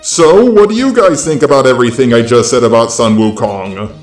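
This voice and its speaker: A man's voice: medium pitch, fairly quick, reverberant. A male synthetic robot voice delivering scripted video narration in a matter-of-fact way. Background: background music.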